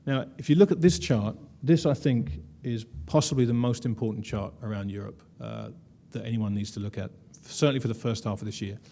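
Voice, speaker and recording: A man's voice: medium pitch, a little fast, vocal fry, few pauses, dark tone. A man presenting slides at a conference, wry and conversational, with frequent "uh" fillers. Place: conference room.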